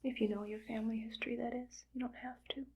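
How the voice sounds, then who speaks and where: Woman doing a breathy whispery evil scientist lady, kinda high and gravelly, thinking out loud all calm and callous, asmr roleplay. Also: fast. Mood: musing, calm. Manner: soft close whisper, musing aloud.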